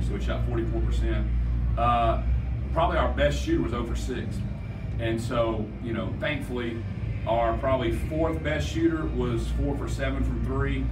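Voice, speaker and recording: A middle-aged man from Mississippi, a basketball coach answering questions at a post-game press conference in an earnest, rambling way with many restarts. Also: mid-range voice. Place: small press room, seated at a table. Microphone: far camera mic. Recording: roomy, distant, noisy.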